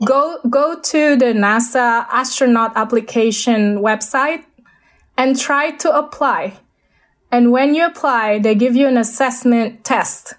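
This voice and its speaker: A woman's voice: a little high, unhurried. A woman with an Indonesian accent, a guest speaking over a video call, talking hesitantly with many fillers and restarts. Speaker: guest, space architect.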